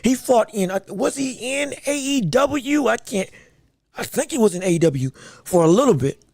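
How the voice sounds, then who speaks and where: A man hosting his own sports podcast, talking with a scratchy voice, rambling excitedly with lots of fillers and restarts. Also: extremely high pitch, normal speed, vocal fry, no echo. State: scratchy, strained voice. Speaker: podcast host. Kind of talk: solo sports and wrestling podcast chat.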